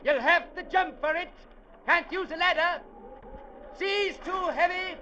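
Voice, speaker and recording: Casting: domineering old sea captain, seventies, high-pitched gravelly bark, impatient, vintage radio play with heavy storm noise. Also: male voice, measured pace, sharp tone, dull audio, heavily acted. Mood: urgent shouting. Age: 70s. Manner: barking, curt, impatient orders. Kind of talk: 1940s radio drama acting.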